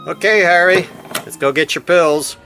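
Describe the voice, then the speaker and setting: An actor playing a gruff but obliging police detective in a radio mystery, terse and matter-of-fact. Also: male voice, high voice, normal speed, music in the background, natural voice. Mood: brisk, firm. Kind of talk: noir radio drama acting.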